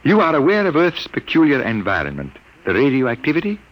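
An actor playing a sixty-two-year-old scientist who speaks earnestly and explains things carefully, in an old radio drama. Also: male voice, medium pitch, wildly swooping pitch, a little fast, muffled recording. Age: 60s. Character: aging Earthman scientist, earnest and burdened. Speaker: elderly scientist father. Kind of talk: 1950s radio drama acting.

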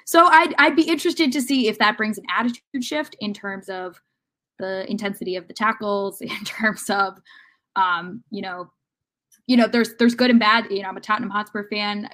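A woman's voice: mid-range voice, fairly even intonation, normal speed, a bit bright. A woman talking casually and analytically as a sports podcast panelist, often hesitating and rephrasing as she goes. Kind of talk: casual football discussion.

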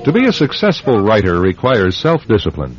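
A man hosting a radio drama, reading scripted narration in a measured, suspenseful, theatrical way. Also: fairly low pitch, wildly swooping pitch. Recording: dull lo-fi radio recording, music bed. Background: music.

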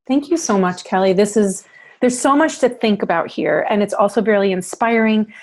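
Raspy woman interviewer. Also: medium pitch, moderate pace. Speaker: podcast host. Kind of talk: interview conversation.

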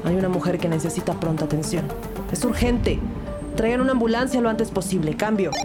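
A woman's voice: lowish voice, animated, over loud music, close to an everyday voice. A woman playing a serious, observant police investigator who narrates a crime audio drama in the first person. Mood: urgent. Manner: measured, serious.

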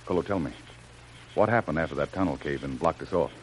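A man's voice: very deep voice, fast. A man playing a brave, protective jungle adventurer who takes charge and reassures, in old-time radio serial style. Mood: calm, quiet. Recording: noisy old radio drama recording.

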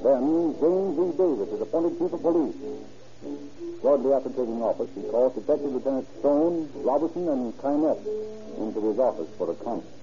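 A dull old radio recording with clear music underneath. A man narrates a crime drama in scripted 1930s style, his mid-pitched voice moving up and down in a lively way. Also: a little fast.

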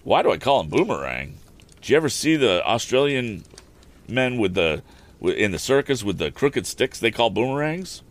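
A man's voice: mid-range voice, sing-song, moderate pace, pitched above the performer's own voice, natural voice. A man voicing a rustic older handyman who drives a mule, long-winded and deferential. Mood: folksy, deferential.

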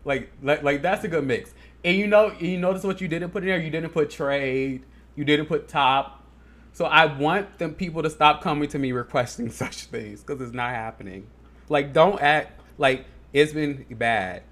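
A man's voice: on the high side, normal speed, a bit bright. A man co-hosting a podcast, talking casually with plenty of fillers and frequent laughter. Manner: chatty, laughs a lot, many fillers. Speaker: podcast co-host. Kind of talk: casual chat.

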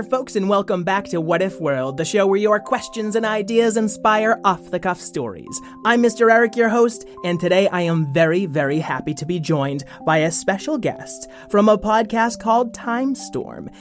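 A man hosts a children's story podcast with lively, chatty delivery. Faint music plays behind him. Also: sits high, normal speed, few pauses.

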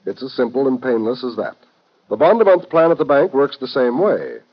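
A muffled 1950s radio broadcast. An American man with a medium-pitched voice announces in a polished, persuasive style, addressing the listener directly as in a sponsor spot. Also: big pitch swings, a little fast, husky.

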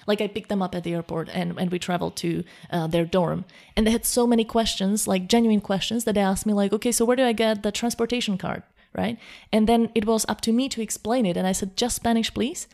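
A woman's voice: medium pitch, fairly even intonation, fast, hardly any pauses, a little mellow. A young woman from Slovakia with a Slovak accent, a guest talking eagerly about learning languages. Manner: eager, thoughtful, frequent restarts. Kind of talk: casual conversation.

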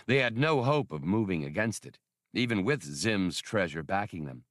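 Worldly male adventurer, read by a man. Knowing, measured, varied intonation, dry audiobook sound. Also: sits low, expressive, a bit bright, dry recording. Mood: knowing, casual.

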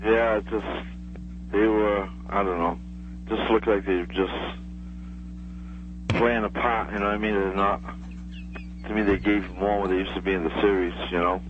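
A middle-aged man chats casually as a radio caller, his voice on the low side. He is hesitant and rambles. The phone sound is dull, with faint hum and noise. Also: steady tone, moderate pace, long pauses. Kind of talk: casual chat. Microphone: telephone.

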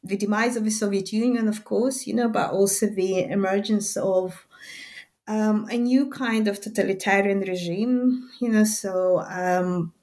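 A woman in her forties from Belarus, a native Russian speaker, who is an author and anthropology lecturer. She talks in an interview in a hesitant, reflective way, with many ums and pauses. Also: medium pitch, steady tone, a little mellow. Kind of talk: interview conversation.

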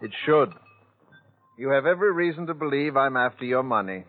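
An actor playing an idle, smooth-talking heir from old money, polished and faintly wry, in a 1940s radio drama. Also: male voice, medium pitch, expressive, a little fast, lightly acted. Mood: suave, wry. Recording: tinny, noisy vintage radio recording.

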